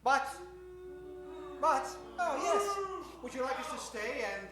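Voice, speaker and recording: Echoey, high-pitched man emceeing on stage. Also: very animated, slow. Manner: courteous, mock-formal old-time radio showman. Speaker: stage announcer warming up an improv show. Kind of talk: theatrical live emceeing to an audience. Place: stage in front of a live audience. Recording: echoey hall, distant microphone.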